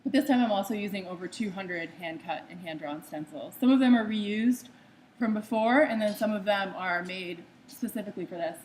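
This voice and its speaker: A young American woman walks an audience through her artwork slide by slide. Her voice sits at an ordinary middle pitch, and she keeps a loose, chatty delivery full of small fillers. A faint hiss of noise runs under the recording. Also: normal speed, a little mellow, poor audio. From United States. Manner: conversational with frequent ums and uhs. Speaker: artist giving a talk. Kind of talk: slide presentation talk.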